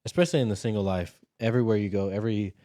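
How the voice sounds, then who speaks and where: A man in his thirties co-hosting a casual podcast, animated and teasing in conversation. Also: on the low side, very fast, no room sound, clean studio sound. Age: 30s. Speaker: podcast co-host. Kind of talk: casual chat.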